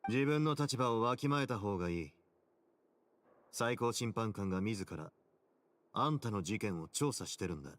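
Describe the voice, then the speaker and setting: An actor playing a cool, confident investigator's companion in a Japanese game dub, casual with faint menace. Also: male voice, lowish voice, expressive, quick pace, pauses often, natural voice. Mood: cool, assured. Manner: casual, self-assured.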